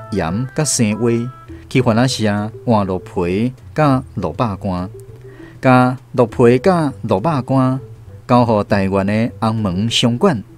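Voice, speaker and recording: A man's voice: mid-range voice, a little mellow. A man with a Taiwanese accent reading scripted storytelling narration for a radio drama. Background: faint music.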